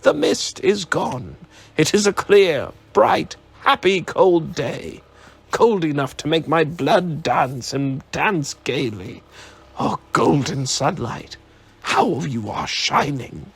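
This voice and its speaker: Male reader as an ecstatic old man, husky, leaping pitch, storybook. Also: animated, slow pace, fairly bright tone, heavily acted. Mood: giddy joyful. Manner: exclamatory, laughing and crying at once. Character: elderly miser overjoyed, giddy old man.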